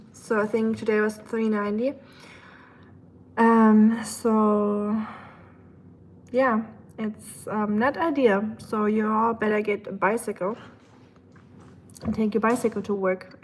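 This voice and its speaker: A woman's voice: mid-range voice, little pitch movement, slow pace, long pauses. A woman with a German accent chatting casually to livestream viewers, thinking aloud in a rambling way with dry humor. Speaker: livestream host. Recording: clear background ambient noise.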